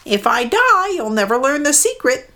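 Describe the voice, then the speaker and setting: A woman's voice: high voice, very animated, moderate pace, sharp tone, voice pushed up, full character voice. A woman voicing a frightened, sly female mud hen bird in a storybook tale. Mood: pleading shrill.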